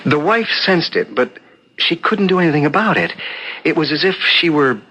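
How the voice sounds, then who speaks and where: An actor playing a thoughtful writer in a 1970s radio drama, hesitant and deliberate as he muses aloud over a mystery. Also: fairly high pitch, sing-song, bright, forceful tone, husky, full character voice, low-quality recording. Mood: analytical. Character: thoughtful male writer puzzling out a murder.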